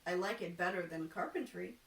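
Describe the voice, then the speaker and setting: A woman voicing a bashful, earnest male carpenter who plays fortune teller in a storybook, with measured pronouncements. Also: lowish voice, vocal fry, a bit bright, pitched below the performer's own voice. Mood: pleased. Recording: echoey room, distant mic, faint noise.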